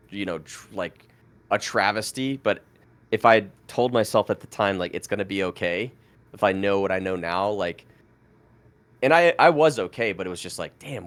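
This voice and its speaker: A man in his thirties, born in France with French as his first language, telling his story as a podcast guest in a rambling way full of fillers and restarts. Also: low voice. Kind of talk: casual storytelling interview.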